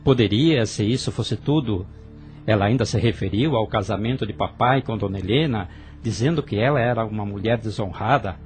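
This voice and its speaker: A man's voice: lowish voice, moderate pace, a little mellow, faint music behind, natural voice. A man voicing a young brother in an audio drama, earnest and hesitant as he confesses. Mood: tense. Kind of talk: radio drama acting.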